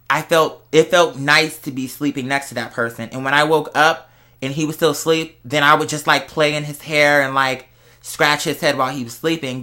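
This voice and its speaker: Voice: mid-range voice, pushed and bright. A young adult American speaker chatting casually and candidly to followers, with slangy, emphatic phrasing. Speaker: vlogger. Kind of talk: casual confessional vlog chat to followers.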